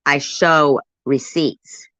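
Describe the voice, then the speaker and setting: A woman's voice: deep voice, fairly even intonation, extremely slow, bright, forceful tone, dry recording, highs cut off. A woman hosting her channel, cutting in on a clip with heated, swearing rebuttals. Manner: combative, swearing, cuts in to rebut. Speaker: channel host reacting to a clip. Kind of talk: heated commentary.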